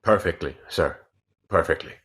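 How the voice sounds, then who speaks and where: A man's voice: low voice, normal speed, a bit bright. A man playing a sceptical, deferential commander with clipped replies in a radio play reading. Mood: coldly obedient. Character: sceptical, deferential commander, cold and suspicious subordinate officer. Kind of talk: radio play cold read.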